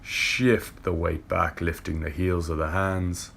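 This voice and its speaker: A young man leading a yoga warm-up flow, calmly cueing breath and movement, recorded from a camera across a car park. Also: deep voice, takes it slowly, creaky, slight background noise. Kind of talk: guided workout instruction. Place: indoor car park with brick wall. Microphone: far camera.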